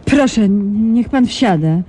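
A sharp ironic journalist. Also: female voice, on the high side, expressive, takes it slowly, background noise. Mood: tense. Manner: probing, ironic, self-assured. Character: persistent female investigative journalist, shrewd and cool. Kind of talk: 1990s radio drama acting.

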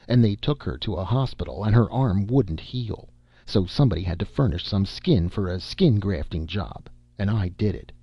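A defiant, aggrieved circus man played by a male reader argues his case stubbornly. The voice is low and husky, in a storybook recording. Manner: defiant, argumentative. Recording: dull, roomy amateur audiobook recording.

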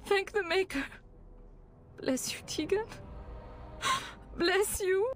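An actress playing an anxious, secretive noblewoman in a video game, with a halting, imploring delivery. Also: extremely high pitch, full character voice. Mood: tearful relief. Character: distraught noblewoman, secretive, pleading, haughty mother. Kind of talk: dramatic video game acting.

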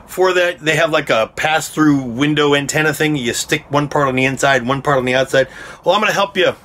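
Middle-aged American male DIY vlogger, bright forceful voice pitched a bit high, folksy explaining, noisy phone recording. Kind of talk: casual explanatory how-to talk. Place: inside a small aluminum cargo or horse trailer. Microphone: phone at arm's length. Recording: noisy small metal trailer interior.

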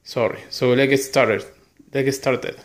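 A man's voice: medium pitch, steady tone. Argentine man, echoey small room. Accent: Argentine Spanish. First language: Spanish. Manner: halting, apologetic, lists titles one by one. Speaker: collector showing a haul. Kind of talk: casual chat to camera. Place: small bedroom indoors.

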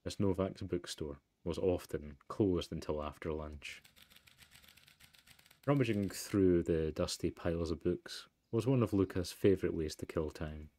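A man's voice: deep voice, unhurried, mellow. A man reading scripted narration as a storybook narrator in a mystery game. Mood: measured. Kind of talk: scripted narration read aloud.

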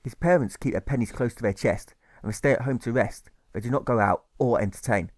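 A man narrating a rhyming novel as an audiobook, in measured storytelling that leans on the rhymes. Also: mid-range voice, no room sound, studio quality. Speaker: author narrating his audiobook.

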